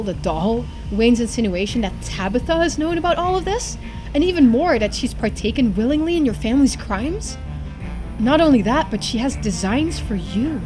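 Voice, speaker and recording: A woman game streamer reading a visual novel aloud, switching into playful, chatty live commentary. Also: mid-range voice.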